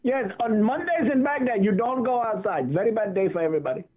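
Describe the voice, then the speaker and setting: A man playing a cheeky, deadpan man from Baghdad who speaks mock broken English, on a phone line. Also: sits high, fast, a crowd in the background. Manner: deadpan joking, broken phrasing.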